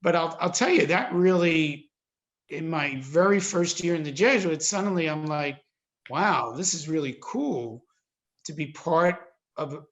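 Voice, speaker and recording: Higher-voiced American man, thoughtful and halting. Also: expressive, a bit bright, highs cut off. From suburban New Jersey, United States. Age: 50s. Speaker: interviewee, Jesuit priest. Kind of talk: reflective interview answers.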